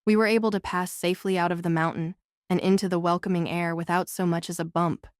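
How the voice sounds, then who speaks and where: A woman's voice: fairly low pitch, steady tone, quick pace, clear, resonant tone, dry recording, clean studio sound. A woman reading scripted first-person novel narration as an audiobook narrator.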